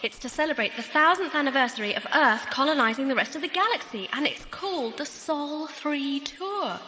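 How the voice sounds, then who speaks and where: A woman playing an earnest, eager young travelling companion in a science fiction audio drama, expressive as she explains. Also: high-pitched, a little fast. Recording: faint noise, long hall reverb.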